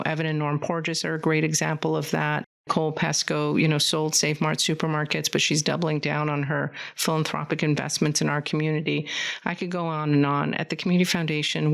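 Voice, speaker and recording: A woman's voice: low-pitched, vocal fry. A woman from California's Central Valley, a community foundation leader, talking earnestly and at length as a guest in a podcast studio. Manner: fluent, earnest, rambling storyteller. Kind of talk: conversational interview.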